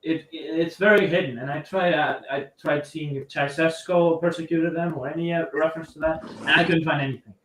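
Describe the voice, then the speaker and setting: A man's voice: on the high side, fairly even intonation, fairly quick, hardly any pauses, fairly bright tone. A man joining a livestream as a guest, asking his question politely and stumbling over words. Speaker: call-in guest. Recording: echoey, distant, faint noise.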